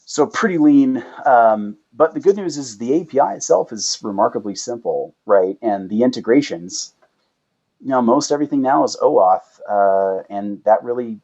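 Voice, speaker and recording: Male founder as interview guest, low slightly rough voice, explanatory, checks in with rhetorical questions, muffled lo-fi sound. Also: a little mellow. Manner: uses rhetorical questions and tag "right?". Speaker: startup founder and chief executive, guest.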